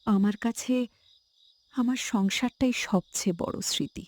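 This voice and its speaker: A woman's voice: medium pitch, measured pace, a little mellow, dry recording, close to an everyday voice. A woman voicing a self-sacrificing elder co-wife in a village cartoon drama, speaking earnestly and tenderly. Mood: tearful resolve.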